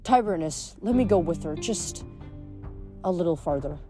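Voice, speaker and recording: A woman's voice: fairly low pitch, expressive, normal speed, mellow, soft background music, natural voice. Woman reading as an awkward, plain-spoken young hero. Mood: worried, resigned. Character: awkward, blunt, protective young male hero.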